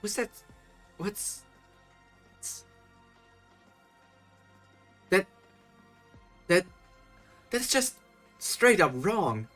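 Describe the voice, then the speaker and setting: A man's voice: high-pitched, sing-song, drawn-out words, long pauses, sharp tone, faint music behind. A man streaming a live reading of a fan story, stumbling and correcting himself as he reads and stopping to critique the writing. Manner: reads aloud with frequent self-corrections, breaks off to critique. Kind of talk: live reading with reaction commentary.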